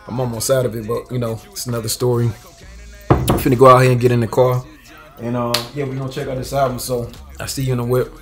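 Laid-back man with a flat voice. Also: medium pitch, fast, over music. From Washington State, US. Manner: laid-back, slangy, with fillers. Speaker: music reviewer, channel host. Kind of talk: casual album reaction chat. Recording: noisy background.

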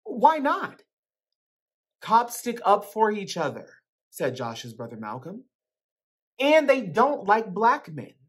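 A woman with a husky voice reading a children's book aloud. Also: mid-range voice, huge pitch swings, slow, long pauses. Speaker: reader. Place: plain room indoors.

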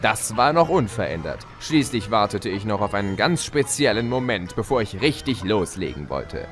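A man's voice: fairly low pitch, big pitch swings, moderate pace, faint music behind. An actor playing a gleeful chaos spirit, a theatrical, mocking villain who narrates his own tale in an audio drama. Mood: smug storytelling.

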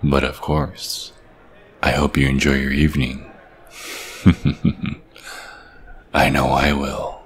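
An actor playing an ancient, menacing and seductive vampire king who taunts slowly in an ASMR roleplay. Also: extremely low pitch, completely flat delivery. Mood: mock courtesy. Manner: slow, taunting, purring menace.

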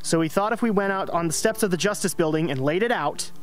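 A man playing a high-voiced, pragmatic rebel mentor. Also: fast, soft background music, close to an everyday voice. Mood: coaxing, urgent. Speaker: actor in a radio play. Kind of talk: radio drama acting.

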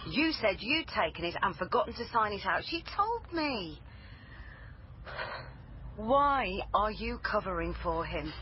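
A woman's voice: mid-range voice, big pitch swings, measured pace. An actress playing a dogged, sceptical female police officer in a naturalistic radio crime drama, with a probing, dry manner. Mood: insistent. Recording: muffled broadcast with faint noise.

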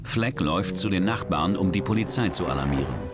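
Deep, lively male documentary narrator. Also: fairly quick, over loud music.

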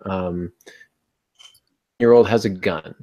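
Middle aged guy, kinda low voice, chatting as podcast host on a video call. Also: slow, highs cut off. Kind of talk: casual podcast discussion on writing. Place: small home room, indoors. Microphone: earbud headset on a video call.

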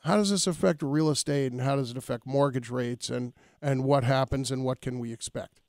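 Gravelly male radio host. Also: medium pitch, steady tone, brisk, a little mellow, no echo, studio quality. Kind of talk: conversational radio interviewing.

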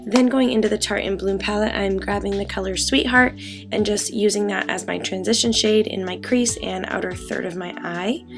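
Raspy young woman with a cold. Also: mid-range voice, steady tone, moderate pace, over music. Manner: chatty, many ums and likes. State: getting over a cold. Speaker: beauty vlogger. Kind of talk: casual makeup tutorial talk. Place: bedroom, indoors.

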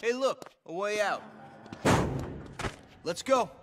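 An easygoing young male street tough in a video game, casual and slangy. His voice is very high, and loud ambient noise fills the background. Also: expressive, slow. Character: laid-back young male gang member.

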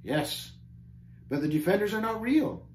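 A man voicing a solemn, kindly spiritual guide in a storybook tale, speaking in measured, archaic phrases. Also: high-pitched, expressive, pitched above the performer's own voice. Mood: solemn counsel. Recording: roomy echo, faint background noise.

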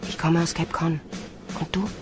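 Actress as young female space recruit, sardonic, dry, radio drama narration, loud music behind. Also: low voice, little pitch movement, moderate pace, lightly acted. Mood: guarded. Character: cynical young female army recruit telling her story.

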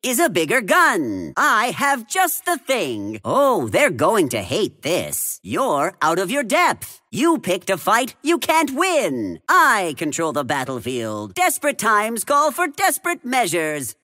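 Wildly animated little mad inventor. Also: male voice, sits high, slow pace, few pauses, no echo, studio quality, full character voice. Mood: commanding, confident. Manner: smug, theatrical, scheming. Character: cocky little male keenfolk tinker, gleeful mad inventor. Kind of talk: video game character voice lines.

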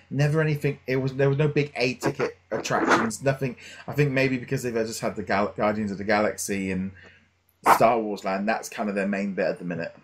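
A man's voice: mid-range voice, background noise. A middle-aged man chatting casually as a podcast host, hesitant and rambling as he thinks aloud. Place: small home room with shelves, indoors. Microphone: headset.

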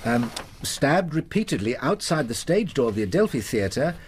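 An actor playing a proper, earnest Victorian gentleman doctor in a radio drama. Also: mid-range voice, sing-song, normal speed, breathy edge. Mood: shocked, earnest.

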